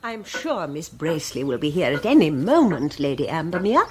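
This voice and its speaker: An actress playing an affected, theatrical middle-aged society hostess with an upper-class English accent in a radio comedy drama. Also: female voice, low voice, sing-song, normal speed, heavy background noise. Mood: gracious.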